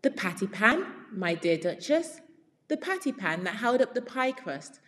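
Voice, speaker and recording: A woman's voice: on the high side, big pitch swings, a little slow, fairly bright tone, voice pushed up. Woman voicing a flustered, genteel lady dog, very echoey. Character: anxious, polite female dog tea guest. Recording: very reverberant room.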